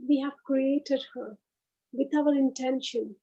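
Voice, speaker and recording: A woman leading a guided meditation, speaking in short, unhurried phrases with pauses between them. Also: high-pitched, steady tone, dark tone, lo-fi sound.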